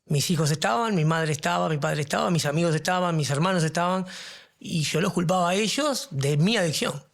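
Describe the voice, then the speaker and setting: A man's voice: fairly high pitch, a little fast, creaky, a bit bright. A middle-aged man, a podcast guest giving earnest testimony and searching for his words. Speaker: guest in recovery. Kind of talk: podcast interview, personal testimony.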